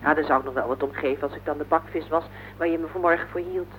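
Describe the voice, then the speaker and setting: A woman's voice: low-pitched, steady tone, fast talker, fairly bright tone. An actress playing a worried, insistent wife in her forties in a radio play, speaking earnestly and plaintively. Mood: reproachful. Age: 40s. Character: worried, insistent wife of forty-one, earnest and heavy-hearted. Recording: noisy, band-limited old radio play recording.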